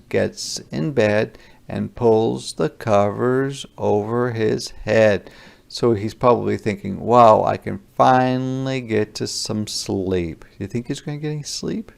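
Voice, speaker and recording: A man with a creaky voice reading a children's picture book aloud and pausing to explain words simply. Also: lowish voice.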